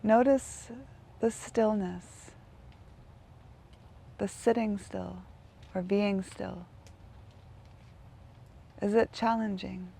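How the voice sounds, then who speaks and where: A woman leading a guided meditation in a gentle, unhurried manner, leaving long pauses between phrases. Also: mid-range voice, gravelly.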